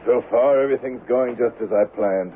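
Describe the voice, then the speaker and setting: An actor playing a cool, calculating man out for revenge in the Old West, speaking with deliberate, dryly taunting control in a 1970s radio drama. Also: mid-range voice, natural voice. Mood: calm, confident. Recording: narrow-band vintage radio recording with faint hiss.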